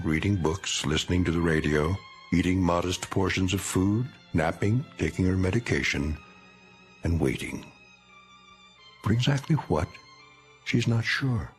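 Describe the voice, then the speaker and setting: An actor playing a grave anthology narrator in a radio drama, speaking in a measured, portentous way. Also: male voice, low voice.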